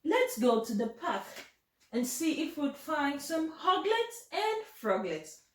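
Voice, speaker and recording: A woman's voice: sits high, sing-song, slow pace, full character voice. A woman voicing an eager, curious young dog from a picture book, musing aloud and pleased with himself. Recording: roomy, echoing home recording.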